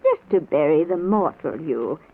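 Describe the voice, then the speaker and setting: An actress playing a gentle, motherly dead wife, soothing and earnest, in an old-time radio drama. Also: low-pitched, expressive, measured pace. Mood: gently explaining. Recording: tinny old radio recording.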